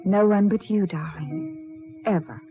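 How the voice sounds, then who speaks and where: A woman's voice: medium pitch, very animated, slow pace, over music. An American actress playing a firm, guarded married woman in a 1940s radio melodrama. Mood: anxious pleading. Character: firm, guarded married woman rebuffing suitor, devoted to husband. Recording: muffled old 1940s radio recording.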